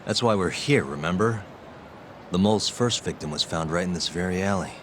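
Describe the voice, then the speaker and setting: Cool low-voiced male detective. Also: brisk, a bit bright, a little hiss or hum. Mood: focused. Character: cool, determined male lawyer turned private detective. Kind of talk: English video game voice acting.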